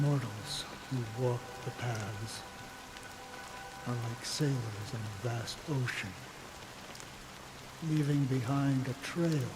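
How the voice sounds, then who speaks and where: An actor playing a weary, disillusioned old man in a video game, telling his story in halting, solemn phrases. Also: mid-range voice. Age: older. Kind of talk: dramatic video game voice acting. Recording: clear rain noise over the voice.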